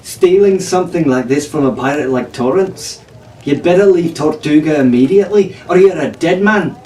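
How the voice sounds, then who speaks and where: A man's voice: a little high, normal speed, mellow, distant mic, lightly acted. In a roomy, echoing space with faint noise, a man acts out a strong-willed teenage tavern girl from a storybook. She knows her legends and delivers them earnestly and firmly. The voice comes from slightly off the mic. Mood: grave warning.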